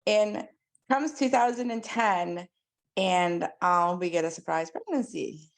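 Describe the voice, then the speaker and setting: A woman's voice: lowish voice, takes it slowly. A woman in her forties, born and raised in Iran, a pediatric dentist chatting as a podcast guest with lots of likes and ums. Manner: chatty and animated, frequent "like" and "um". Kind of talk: casual interview storytelling.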